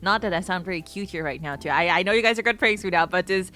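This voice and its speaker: A woman streamer chatting casually with a low voice, giggling often and mixing in Japanese phrases. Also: quick pace, bright, forceful tone. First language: not Japanese. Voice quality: lower voice, prefers low register. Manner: chatty, giggly, switches between English and Japanese. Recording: faint music behind.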